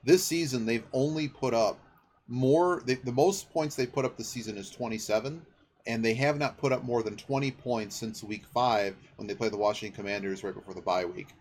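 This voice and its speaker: A man's voice: medium pitch, moderate pace, darkish tone. Man talking football over faint noise. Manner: rambling, thinking aloud, checking facts mid-sentence. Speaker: sports podcast host. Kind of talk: casual off-the-cuff football commentary.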